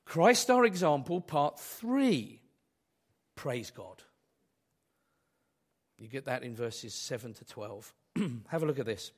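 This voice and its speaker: A man preaching a sermon, hoarse from a cold, asking his congregation rhetorical questions in a conversational way. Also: medium pitch, sing-song, slow pace, pauses often. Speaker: pastor.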